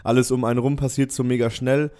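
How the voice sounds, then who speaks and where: A man's voice: medium pitch, level tone, fast talker, mellow. A young man, a student, co-hosting a casual podcast with dry, teasing remarks. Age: young adult. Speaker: podcast co-host. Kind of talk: casual chat.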